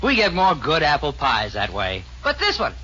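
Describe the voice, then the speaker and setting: An excitable, blustering western comic. His highish voice leaps around with a sharp, forceful edge. It sounds like a dull 1940s radio comedy. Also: moderate pace, full character voice. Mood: indignant. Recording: dull old 1940s radio recording, faint noise.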